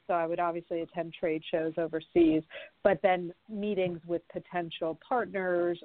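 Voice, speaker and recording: A woman's voice: medium pitch, no echo, phone-quality sound. A woman whose first language is French, talking through her career in a chatty interview with many fillers and easy laughs. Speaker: podcast guest, fashion founder. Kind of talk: conversational interview.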